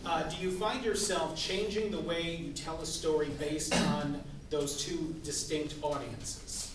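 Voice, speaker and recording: A man moderates a conference panel, putting measured questions to the guests. His voice sits slightly high. He sounds far from the mic in a very echoey hall. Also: steady tone, slight background noise.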